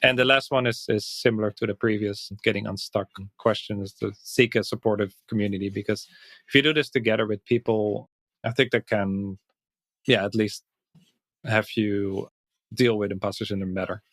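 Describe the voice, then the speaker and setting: A Dutch man, a native Dutch speaker, talking in English as a podcast guest, reflective and thinking aloud with soft laughs. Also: fairly low pitch, steady tone, moderate pace, dry recording. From Netherlands. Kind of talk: casual interview chat.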